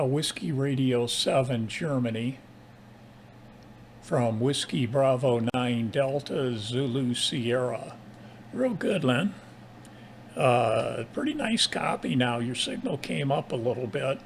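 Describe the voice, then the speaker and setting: Male amateur radio operator, unhurried and fry-edged, procedural contact chat with phonetic call signs, slight background noise. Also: medium pitch.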